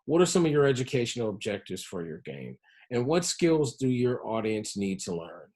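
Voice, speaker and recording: A man with a mid-pitched, somewhat husky voice gives an educational presentation. He explains his material in a measured, instructional manner, as a public health professional addressing learners. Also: a little mellow. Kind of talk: educational presentation on health-focused game design.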